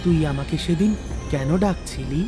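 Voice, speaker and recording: A man's voice: on the high side, moderate pace, loud music behind the voice, lightly acted. A man voicing a restless, possessive ghost of a dead older brother in a cartoon, mournful and menacing. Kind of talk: cartoon story dubbing.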